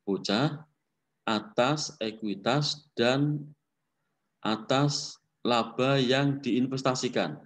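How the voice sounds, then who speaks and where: Male lecturer, Indonesian accent, fairly low pitch, instructional delivery with frequent short confirming tags. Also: darkish tone. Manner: didactic, often checking with a short tag word. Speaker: accounting lecturer. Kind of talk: explanatory lecture, walking through worked figures.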